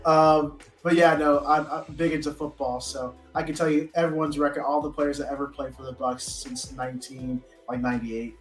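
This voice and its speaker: A man with a slightly high voice chats casually as a live stream host. He sounds like an enthusiastic fan. The room is clearly echoey, with faint music underneath. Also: fairly even intonation, normal speed, few pauses. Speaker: podcast host. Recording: roomy echo, faint music.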